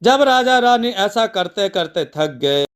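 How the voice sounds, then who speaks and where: A man narrating a scripted devotional mythological story in a measured, deliberate storytelling way. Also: sits high, animated, clear, resonant tone.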